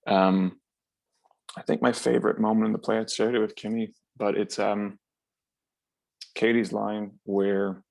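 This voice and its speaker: A man giving a heartfelt panel reflection in a halting, deliberate, earnest way. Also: on the low side, level tone, gravelly, a little mellow. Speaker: actor.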